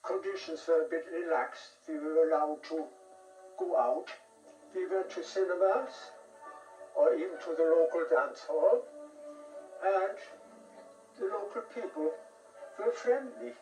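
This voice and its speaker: Old guy w/ german-geordie accent, fairly high voice, roomy tv sound, music under. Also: expressive, a little slow, long pauses, darkish tone. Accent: German and Geordie mix. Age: older. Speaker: former prisoner of war interviewee. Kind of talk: reminiscing interview.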